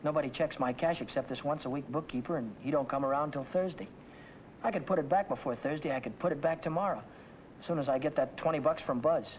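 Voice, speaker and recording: An actor playing a restless young auto mechanic short of money, with an American accent and breezy, slangy talk in old crime film dialogue. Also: male voice, on the high side, fast talker, close to an everyday voice. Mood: scheming. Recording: noisy old 1950 film soundtrack.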